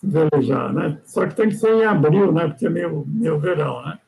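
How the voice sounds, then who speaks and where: An older Brazilian man with a high, gravelly voice tells sea stories in a loose, rambling chat. He keeps adding little questions to his sentences and restarts his thoughts as he goes. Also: animated, normal speed, mellow, poor audio. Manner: rambling, frequent "né?" tags and restarts. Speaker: guest sailor. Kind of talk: casual storytelling chat.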